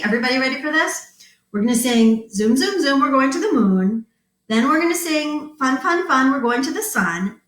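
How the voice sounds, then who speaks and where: A woman's voice: on the high side, a bit bright, echoey room, rough recording. A woman leading an interactive children's story time as a librarian, enthusiastic and encouraging as she talks to young children.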